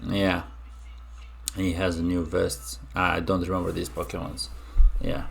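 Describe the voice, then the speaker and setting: A man's voice: low-pitched, monotone, measured pace, gravelly, fairly bright tone. A young man from Romania hosting a casual reaction video, musing aloud with many fillers and restarts.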